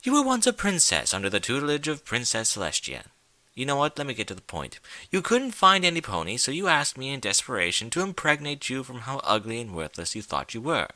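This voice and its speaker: A glib male creature of chaos played by a man for a storybook reading. He coaxes theatrically with an impatient edge, the pitch swinging up and down in a bright, forceful tone. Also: very animated, fairly quick, dry recording. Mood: coaxing, impatient. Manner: theatrical, coaxing, exasperated. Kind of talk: fan fiction storybook reading.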